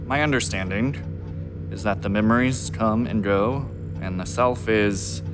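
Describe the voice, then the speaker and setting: Pragmatic female space officer; extremely low voice, dry delivery, music underneath. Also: measured pace. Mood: wry, reflective. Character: pragmatic, wry woman space officer, war veteran. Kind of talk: radio play acting.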